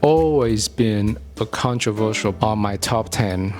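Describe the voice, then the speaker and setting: A young man from Vietnam with a Vietnamese accent, narrating a food review in an earnest, measured way with blunt asides. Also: on the low side, darkish tone, faint music behind. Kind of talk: scripted video narration.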